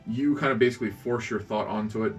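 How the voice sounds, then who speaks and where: A man narrating as game master of a tabletop roleplaying game, explaining things in a casual, halting way. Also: on the low side, faint music behind.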